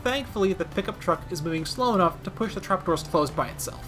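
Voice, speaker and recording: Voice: mid-range voice, expressive, quick pace, music in the background. A video game commentator narrating a scripted gaming video with wry, playful asides.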